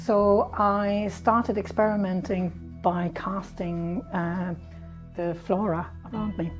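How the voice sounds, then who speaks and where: A middle-aged woman from Denmark, not a native English speaker, answers questions in her workshop at a medium pitch. She talks casually, with plenty of fillers and restarts, while soft music plays underneath. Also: fairly even intonation, low-quality recording. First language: Danish. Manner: halting, many restarts and fillers. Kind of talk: casual interview.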